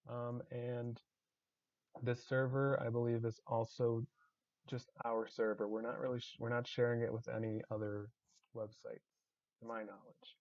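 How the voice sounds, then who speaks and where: A man hosting a casual online community discussion, hesitant with many ums and restarts, his voice slightly raspy. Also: medium pitch, little pitch movement, measured pace, darkish tone, muffled recording. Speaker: community staff host.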